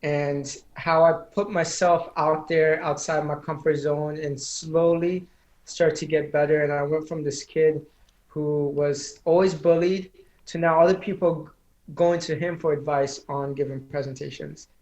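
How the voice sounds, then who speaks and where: A man's voice: on the high side, flat delivery, measured pace, darkish tone, reverberant, rough recording. A man who is a public speaking coach, speaking as an interview guest in an earnest, motivational, speech-like way; English is not his first language. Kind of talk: casual interview chat.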